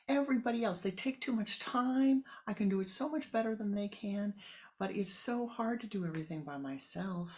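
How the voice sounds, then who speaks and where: A storyteller voicing a fretful woman consumed by criticism, complaining in a shrill, aggrieved way. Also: gravelly.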